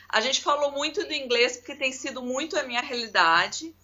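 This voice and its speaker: A woman, co-hosting a casual chat and telling lively stories straight to the viewers. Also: high voice, a little fast. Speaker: co-host. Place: abroad, remote call.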